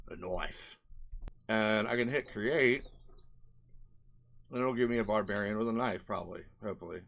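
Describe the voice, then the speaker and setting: A man's voice: medium pitch, a bit bright. A man narrating a casual screen-share vlog as an indie game developer, rambling conversationally and often checking in with "right?" and "you know".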